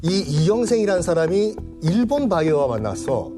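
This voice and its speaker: A man's voice: high voice, big pitch swings, fairly quick. A man explaining with anecdotes as a panelist on a talk show. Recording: background music under studio talk.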